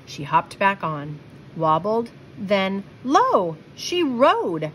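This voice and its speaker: Female storybook reader, mid-range voice, expressive and deliberate read-aloud delivery, light background noise. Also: mid-range voice. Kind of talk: children's picture book read-aloud with asides.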